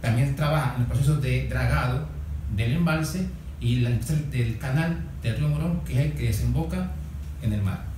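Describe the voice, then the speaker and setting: Mellow Venezuelan man, very echoey and off-mic. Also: medium pitch, moderate pace, recorded from a distance. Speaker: interview guest with conservation experience. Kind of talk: informal explanatory talk. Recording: very echoey, off-mic, faint noise.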